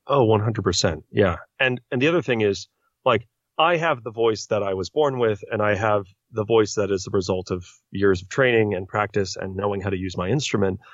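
A man's voice: lowish voice, brisk, no echo. A man who is a voice-actor guest on a podcast, talking earnestly and at length. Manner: earnest, talkative, explains at length. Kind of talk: casual podcast interview. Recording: dry, muffled lo-fi sound.

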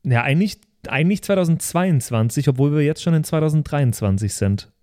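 A man's voice: fairly high pitch, animated, fairly quick, warm tone. A man co-hosting a podcast in casual, slangy chat among friends. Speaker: podcast co-host closing a listener Q&A episode.

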